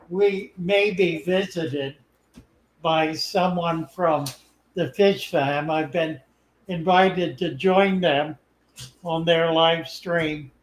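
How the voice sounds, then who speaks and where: An older man hosting a live stream, chatting in a slow, unhurried drawl. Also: a little high. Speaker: host. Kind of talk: casual live stream chat. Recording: echoey room.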